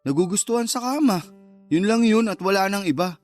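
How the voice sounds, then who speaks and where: Cocky Filipino secret lover. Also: male voice, high-pitched, lively intonation, fairly quick, soft background music. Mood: wounded, denying. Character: cocky, teasing, easily wounded secret male lover. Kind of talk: Tagalog radio love-story drama acting.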